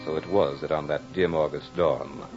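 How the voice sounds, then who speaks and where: An actor playing a brooding aristocratic courtier in an old radio drama, telling his tale in a measured, florid, dramatic way. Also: a bass voice, steady tone, darkish tone, soft background music. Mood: grim. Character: brooding aristocratic French courtier recounting a fatal duel. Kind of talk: 1940s radio drama monologue. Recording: muffled old radio recording.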